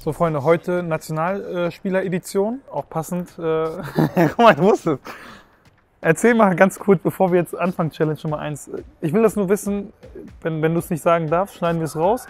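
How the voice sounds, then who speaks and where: A young man hosting a football show in an indoor hall, talking in an animated, joking way. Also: on the high side, moderate pace, soft background music. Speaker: host. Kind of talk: excited casual chat.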